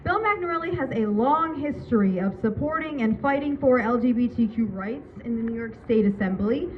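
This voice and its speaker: High-pitched woman hosting an outdoor ceremony. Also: expressive, fairly quick. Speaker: event host. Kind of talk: ceremony announcing and introductions. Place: outdoors at a rainy public ceremony. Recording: dull outdoor event audio with loud noise.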